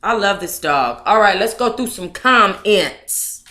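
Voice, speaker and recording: A woman hosts a casual livestream, moving between reading aloud and chatting with viewers. Her voice is bright and forward at a middle pitch, with an unhurried pace. She is playful and slangy, and the room adds a clear echo. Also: expressive. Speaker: livestream host reading their own book aloud.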